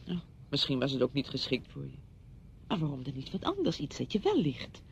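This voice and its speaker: An actress in a Dutch radio play, playing a brisk, patronising woman who fusses chattily over a widowed friend. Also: huge pitch swings, creaky, full character voice. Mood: concerned, defensive. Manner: chatty, fussing, condescending. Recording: dull radio recording with rumbling noise.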